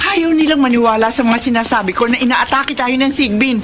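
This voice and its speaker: Actress as a high-voiced bossy office woman. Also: noisy background, lightly acted. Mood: businesslike, tense. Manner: brisk, commanding, urgent. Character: bossy, impatient female office boss taking charge. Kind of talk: Filipino horror radio drama acting. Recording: loud music under the voice. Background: loud music.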